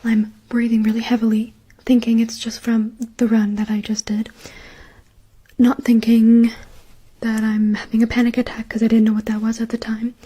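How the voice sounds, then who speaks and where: Soft whispering woman telling a story. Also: fairly high pitch, completely flat delivery, normal speed, husky. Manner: whispering softly throughout; chatty, lots of "like" and "um".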